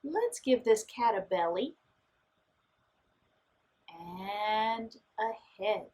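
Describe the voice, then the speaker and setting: Slow, very animated woman teaching children art. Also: medium pitch. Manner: encouraging, reassuring; simple child-directed phrasing with exclamations. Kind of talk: step-by-step children's art lesson.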